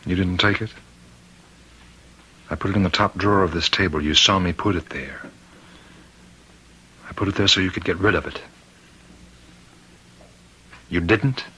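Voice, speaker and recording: An actor playing an anguished, weary prison warden in an old radio drama, halting and strained. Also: male voice, sits low, pushed and bright, husky, heavily acted, rough recording. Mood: tense suspicion.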